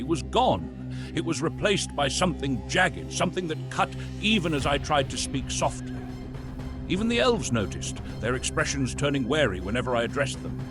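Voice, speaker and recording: A man playing a weary, tormented, sinister old Santa, narrating a horror confession in a brooding, deliberate, theatrical way. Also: mid-range voice, lightly acted. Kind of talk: dramatic horror monologue narration. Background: music.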